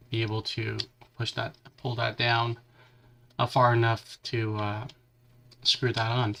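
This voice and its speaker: A man hosting a hobby livestream, chatting casually and haltingly while he works with his hands, still nursing a lingering cough and a stuffy nose. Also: mid-range voice, bright, forceful tone, a little hiss or hum. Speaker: livestream host building a model kit.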